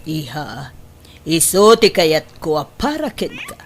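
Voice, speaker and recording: Woman acting middle-aged mother, lowish voice, persuasive, insistent, expressive, audio drama, faint noise behind. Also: slow pace, full character voice. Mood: coaxing, insistent.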